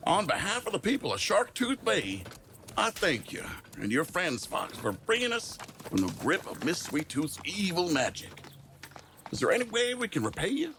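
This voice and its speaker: A pompous, grandly formal small-town mayor in an audio drama. His sharp, bright voice sweeps dramatically up and down, with faint ambience behind him. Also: high voice, huge pitch swings, normal speed, slight background noise, full character voice. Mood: grand gratitude.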